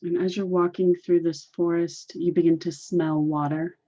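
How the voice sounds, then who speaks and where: A woman leading a guided meditation in a deliberate, soothing, repetitive way, on a dull, muffled recording. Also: fairly low pitch, flat delivery, warm tone.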